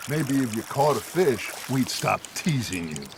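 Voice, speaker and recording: Jovial middle-aged American gang leader, video game. Theatrical and teasing, slow gravelly delivery. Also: male voice, medium pitch, very animated. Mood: amused teasing. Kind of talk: video game cutscene acting. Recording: outdoor water and boat noise. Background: water and boat sounds.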